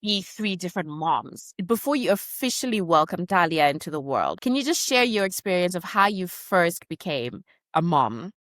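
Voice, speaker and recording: A woman's voice: on the low side, sing-song, moderate pace, fairly bright tone, dry recording, studio quality. A woman in her thirties hosting a podcast interview, chatty and relatable, with plenty of filler words. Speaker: podcast host. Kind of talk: conversational interview.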